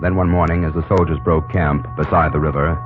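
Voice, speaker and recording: A man's voice: very deep voice, little pitch movement, fairly quick. A man giving dramatic scripted narration for an old-time radio drama. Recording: tinny old radio recording, music behind.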